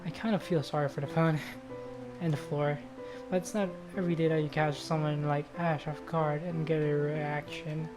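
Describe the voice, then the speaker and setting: A woman with a low voice reads visual novel narration aloud on a stream. She sometimes trips over words and goes back over phrases, while plucked guitar music plays clearly behind her. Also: fairly even intonation, normal speed.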